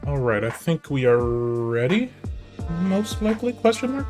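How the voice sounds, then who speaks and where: A man's voice: mid-range voice, sing-song, a little slow, over music. A man with a nasal voice streaming live, chatting casually and rambling to himself and his chat while he sets up.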